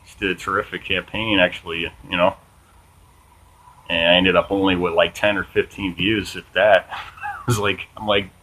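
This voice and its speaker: A man hosting a small political commentary channel, reading from an article and chatting casually, with a flat monotone delivery and lots of ums and uhs. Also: deep voice, normal speed, rough recording. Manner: swears casually, says "man" often.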